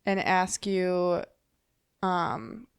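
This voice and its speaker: A woman's voice: on the low side, fairly even intonation, gravelly, smooth. A woman hosting a podcast, asking questions in a chatty, casual way. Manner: chatty, quick reactions and laughter. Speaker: podcast host. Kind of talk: casual interview chat.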